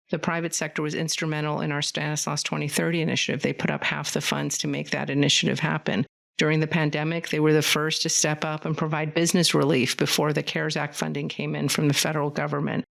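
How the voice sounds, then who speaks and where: A woman's voice: sits low, vocal fry. A woman from California's Central Valley, a community foundation leader, talking earnestly and at length as a guest in a podcast studio. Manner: fluent, earnest, rambling storyteller. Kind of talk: conversational interview.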